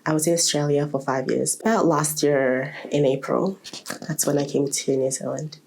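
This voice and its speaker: Low-pitched young woman, hesitant speaker. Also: steady tone, normal speed, creaky, darkish tone. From Malaysia. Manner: hesitant, searching for words. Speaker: youth programme participant. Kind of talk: casual personal testimony.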